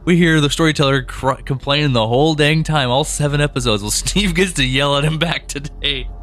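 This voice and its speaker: A man's voice: fairly high pitch, a little fast, fairly bright tone, soft background music. A man co-hosting a podcast and chatting casually, rambling with frequent restarts. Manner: rambling, restarts often, laughs easily. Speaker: co-host and writer.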